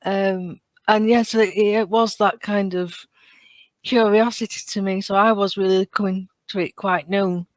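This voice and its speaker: A British woman in her thirties chatting casually and telling stories in an animated, chatty way. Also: mid-range voice, unhurried, no room sound. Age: 30s. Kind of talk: casual conversational chat.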